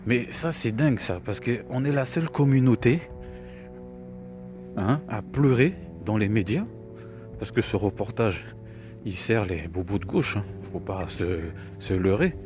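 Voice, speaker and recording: Middle-aged guy with a cold, lowish voice, rambling scornful rant, music playing behind. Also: unhurried. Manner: rambling, repeats words, scornful. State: has a cold. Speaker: video commentator. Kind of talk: opinionated reaction commentary.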